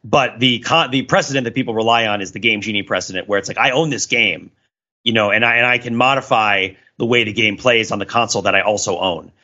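A man's voice: lowish voice, fast, sharp tone, lo-fi sound. A man co-hosting a podcast, explaining things in a casual, discursive way and often checking in as he goes. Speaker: podcast co-host.

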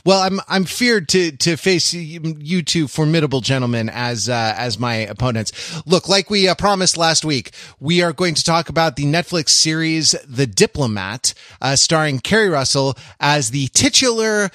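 Higher-voiced American podcast host, dry studio sound. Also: male voice, lively intonation, fairly bright tone, dry recording. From California, United States. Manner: halting, wordy, mock-formal delivery. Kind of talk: casual, wry podcast introduction and banter.

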